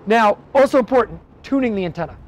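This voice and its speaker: A man's voice: sits high, expressive, fast. A man in his thirties giving a casual, practical how-to talk about radio gear, first in a garage and then outdoors on a windy lot. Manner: direct, practical, frequent "okay?" check-ins. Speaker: shop owner explaining radio gear.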